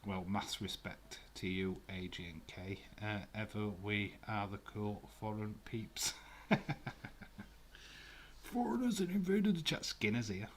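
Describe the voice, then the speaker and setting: A man's voice: lowish voice, expressive, slow, a little hiss or hum. A man with a Glasgow accent hosting a live watch-along stream from a room at home, chatting casually with viewers in a rambling way with many fillers. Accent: Glaswegian. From Scotland.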